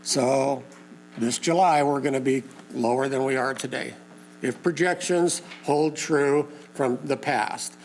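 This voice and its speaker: A man with a mid-pitched voice, hoarse and fading, gives an informal talk to a local crowd. He halts often with uh and um and keeps his wording homespun. A faint hum sits under the room sound. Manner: halting, many uh and um fillers, plain folksy wording. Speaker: community meeting organizer and lake info page host. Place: community meeting room.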